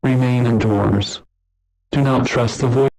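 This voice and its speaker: A male announcer with a mid-range voice reads an emergency alert. His delivery is formal and measured, with an impersonal tone suited to an official scripted broadcast. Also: mid-range voice, steady tone, darkish tone, poor audio.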